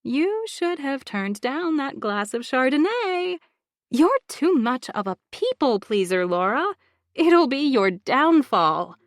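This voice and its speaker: A woman voicing a coolly menacing female villain who drugs people, speaking in a mocking, drawn-out, sing-song way. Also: on the high side, very animated, dry recording, studio quality, pitched above the performer's own voice, exaggerated voice. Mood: taunting.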